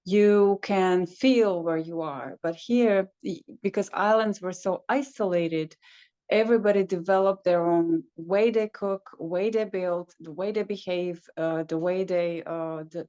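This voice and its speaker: A woman's voice: lowish voice, unhurried, warm tone, clear, resonant tone. Croatian-born woman, hesitant, muffled call audio. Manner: hesitant, searching for words with many fillers. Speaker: travel consultant guest. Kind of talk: casual interview chat. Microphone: video call.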